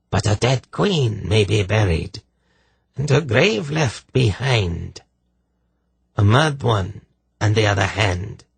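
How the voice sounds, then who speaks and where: Man acting out a slow, rasping, evil sorceress. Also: fairly low pitch, a bit bright, no room sound, pitched above the performer's own voice, exaggerated voice. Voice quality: harsh, rasping. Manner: slow, archaic speech in a peculiar accent. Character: malevolent sorceress possessing a queen, hateful and menacing.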